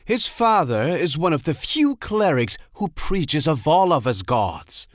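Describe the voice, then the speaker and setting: A man acts out a sly deity of deception in an audio drama. He is persuasive and theatrical, his lightly high voice moving freely up and down in a dry recording. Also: very animated, unhurried, no room sound. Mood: eagerly persuasive.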